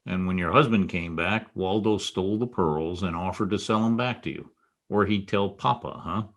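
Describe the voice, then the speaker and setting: A hard-boiled private eye played by a man in a vintage radio mystery. The voice sits low, and the lines come out wry and clipped, with a tough wisecracking edge. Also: normal speed. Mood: probing, wry. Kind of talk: 1940s radio detective drama acting with narration.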